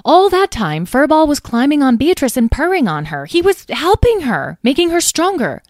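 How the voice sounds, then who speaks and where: A woman's voice: high voice, very animated, moderate pace, darkish tone, dry recording, clean studio sound, voice pushed up. A woman voicing an earnest young girl who is a knight in training. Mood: eager. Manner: eager, urgent.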